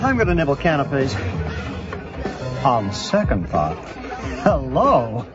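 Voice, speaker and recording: An actor playing a camp, catty, flirtatious gatecrasher in a comic audio drama, arch and theatrical. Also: male voice, mid-range voice, huge pitch swings, slow pace. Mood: catty, flirty. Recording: dull lo-fi sound, music behind.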